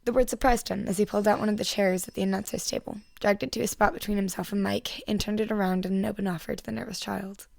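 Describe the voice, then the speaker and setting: A young woman narrates a fan fiction story while under the weather. Her voice is noticeably creaky. The recording is dry and close, with very little room around her. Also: mid-range voice, steady tone, quick pace, hardly any pauses, a little mellow, no echo. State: sick. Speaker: podfic narrator.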